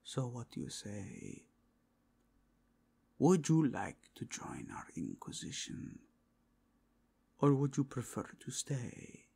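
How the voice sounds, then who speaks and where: Man as a suave, whispery, gravelly inquisitor. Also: medium pitch, huge pitch swings, mellow, heavily acted. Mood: courteous, persuasive. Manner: measured, formal, insinuating. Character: suave, probing male cardinal of a fantasy Inquisition. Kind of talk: ASMR fantasy roleplay.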